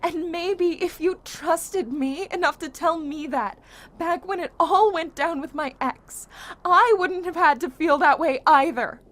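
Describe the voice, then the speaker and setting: A woman's voice: extremely high pitch, measured pace, a bit bright. A woman playing a guarded, guilt-ridden young survivor in an amateur zombie apocalypse audio drama. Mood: exasperated, scolding.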